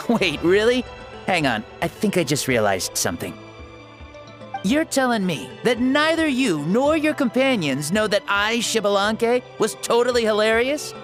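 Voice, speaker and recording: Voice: medium pitch, big pitch swings, unhurried, faint music behind. An actor playing an ancient hero who inhabits a young boy's body in a video game, theatrical, jaunty and self-assured. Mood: playful, teasing.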